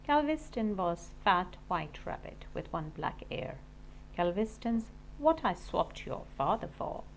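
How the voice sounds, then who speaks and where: Lady teacher reading a kids book out loud, very expressive and up and down, bit of background noise. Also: fairly low pitch, takes it slowly, creaky, poor audio. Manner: animated, dramatic read-aloud for children. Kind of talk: expressive storybook narration.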